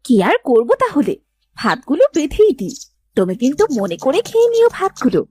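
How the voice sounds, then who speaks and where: A woman's voice: high voice, huge pitch swings, fairly quick, a little mellow, dry recording. A woman playing a caring village housewife in a cartoon, fussing in a plaintive way. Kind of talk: Bengali cartoon dialogue acting.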